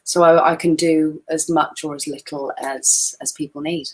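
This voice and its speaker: A woman's voice: deep voice, steady tone, moderate pace, poor audio. A woman in her forties, a guest photographer chatting casually on a video call in a friendly, self-deprecating way, sounding a little tired. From Wales. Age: 40s. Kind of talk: casual webinar chat.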